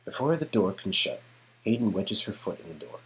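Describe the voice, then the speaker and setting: A man reading expressive second-person narration for an interactive story game. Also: on the low side, fairly quick. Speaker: narrator and player character reader.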